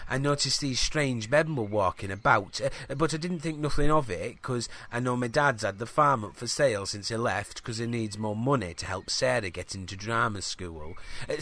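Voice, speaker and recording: A man's voice: mid-range voice, sharp tone. A man playing an earnest, easily sidetracked teenage farm boy, hesitant and colloquial, in a scripted audio comedy. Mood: matter-of-fact.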